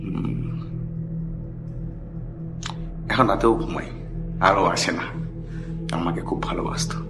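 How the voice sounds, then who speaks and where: Male storyteller, middle-aged, fairly low pitch, conversational with some English phrases, prominent music bed. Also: slow. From India. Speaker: caller telling a personal ghost story. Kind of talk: personal storytelling. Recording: background music under the voice. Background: loud music.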